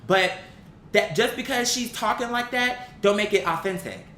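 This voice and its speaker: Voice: moderate pace, bright, forceful tone. A vlogger giving casual, opinionated commentary in a blunt, slangy and emphatic way. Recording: roomy echo, faint rumbling background noise.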